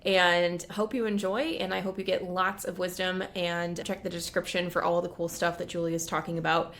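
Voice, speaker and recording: A woman's voice: fairly low pitch, flat delivery, normal speed, fairly bright tone. A woman hosting a conversation about teaching, talking in an eager, chatty way. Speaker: host, English teacher. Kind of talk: casual conversation.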